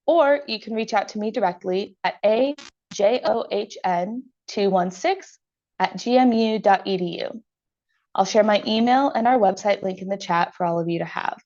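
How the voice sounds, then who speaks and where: An American woman moderating a webinar, reading her introduction in a clear, measured, businesslike way. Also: medium pitch, darkish tone, dull audio. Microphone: computer mic on a video call.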